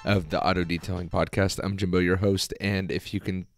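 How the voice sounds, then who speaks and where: A man hosting a podcast, talking casually and hoarsely with his voice nearly gone, rambling with fillers and restarts. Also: low-pitched, level tone, a little fast, faint music behind. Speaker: podcast host. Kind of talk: casual solo podcast talk.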